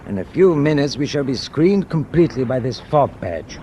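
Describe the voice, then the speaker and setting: Wartime German ship's captain played by a man, wary and authoritative, animated mid-range voice, radio drama. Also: mid-range voice, normal speed, slight background noise, close to an everyday voice. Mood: tense, brooding. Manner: authoritative, clipped orders. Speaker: actor.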